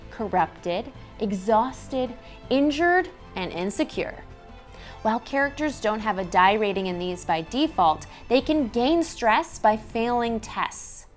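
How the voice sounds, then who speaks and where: A woman in her thirties giving scripted instructional narration as a host, with animated, clearly enunciated presenter delivery. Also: on the high side. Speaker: tabletop game show host explaining rules. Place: dressed indoor studio set. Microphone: clip-on lapel mic. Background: background music.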